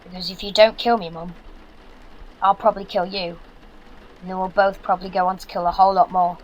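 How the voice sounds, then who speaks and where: An earnest young British son in a zombie audio drama , acted by a young man. He is practical and bossy, instructing in a light, high voice. There is faint background noise. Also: flat delivery, fairly quick, close to an everyday voice, rough recording. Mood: grave, hesitant. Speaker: actor.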